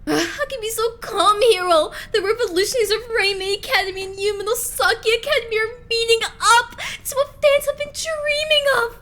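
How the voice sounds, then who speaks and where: Woman voicing a high-pitched, excitable teen idol boy. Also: fairly quick, hardly any pauses. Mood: flustered. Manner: gushing, flustered, stammering. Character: excitable, easily flustered teenage idol boy, starstruck fan. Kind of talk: anime-style fandub voice acting.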